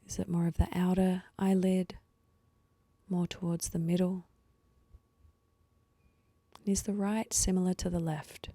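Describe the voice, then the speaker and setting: A woman leading a guided meditation with slow, soothing instructions and pauses, her voice soft and at times breathy. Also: fairly low pitch, level tone, no room sound.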